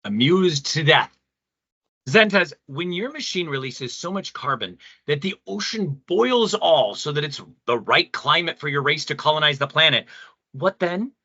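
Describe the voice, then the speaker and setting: A man performs an earnest male pastor in an audio play, a lively, bright voice on the higher side. He is reflective and philosophical, choosing his words with care. The sound is dry and slightly dull. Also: no room sound, full character voice. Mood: grave, reproachful. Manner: thoughtful, measured, philosophical. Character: earnest male pastor, secretly a weary, loving god. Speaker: pastor in a debate.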